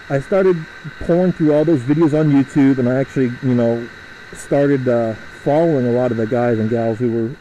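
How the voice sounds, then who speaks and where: A young man talks through his ride in a loose, rambling way, full of fillers and restarts. His voice is mellow and mid-pitched. It is picked up by a helmet mic with faint road noise behind it. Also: poor audio. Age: young adult. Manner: rambling, chatty, halting with fillers. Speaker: motovlogger. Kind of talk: casual riding commentary. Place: riding a motorcycle outdoors through a suburb.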